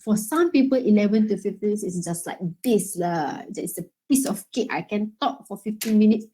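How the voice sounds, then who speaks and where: A woman's voice: medium pitch, expressive, a little fast, dark tone, husky. Chatty woman teaching. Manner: chatty, frequent "okay?" and "right?" checks. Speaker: IELTS workshop instructor. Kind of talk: casual workshop teaching.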